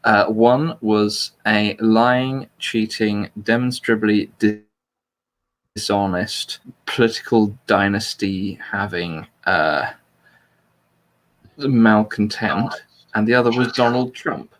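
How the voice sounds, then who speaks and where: A man's voice: lowish voice, slow pace, a bit bright, low-quality recording. A man hosting a casual livestream chat in a blunt, jokey way. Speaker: livestream host.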